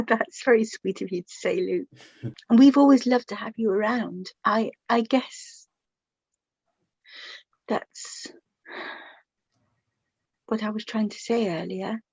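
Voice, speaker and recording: An actress playing a flustered, kindly middle-aged mother in a radio play, hesitant and gently chiding. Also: a little high, takes it slowly, pauses often, slightly rough, dry recording, lo-fi sound, full character voice. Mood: warm, wistful.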